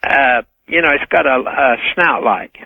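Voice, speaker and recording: A man calling a radio show, chatting casually in a halting, hesitant way. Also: medium pitch, expressive, gravelly, dry recording. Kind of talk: casual phone-in chat. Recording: dull phone call on radio.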